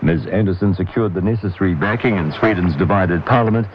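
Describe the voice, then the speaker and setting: A man reads the radio news in a measured, formal way. His voice sits very low. It comes through a muffled shortwave signal buried in loud hiss. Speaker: newsreader. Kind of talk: scripted radio news bulletin.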